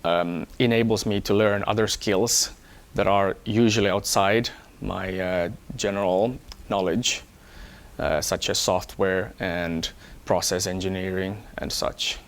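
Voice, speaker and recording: A man from Hong Kong, an engineer, talking about himself in a measured, explanatory way for an employee profile. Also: low-pitched, steady tone. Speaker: electronics engineer. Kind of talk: first-person employee profile talk.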